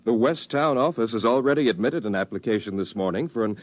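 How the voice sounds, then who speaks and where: An actor playing a mild, fussy, easily flustered bureaucrat in a 1950s radio drama. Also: male voice, medium pitch, expressive, fast talker, natural voice. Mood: obliging. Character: fussy, courteous, easily baffled male bureaucrat.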